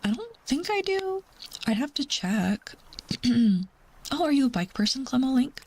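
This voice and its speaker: A woman livestreamer chatting casually with her viewers in a rambling, informal way, sometimes dropping into a soft near-whisper. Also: sits high, expressive, a little slow, breathy edge. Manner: chatty, rambling, calls herself Mama.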